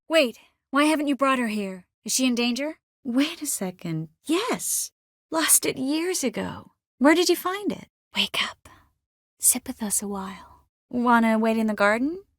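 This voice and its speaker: An actress playing an earnest, caring young sorceress in a video game, expressive and by turns determined and wistful. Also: female voice, sits high, a little slow, dry recording, clean studio sound. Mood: surprised, questioning, then hushed. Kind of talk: video game character dialogue.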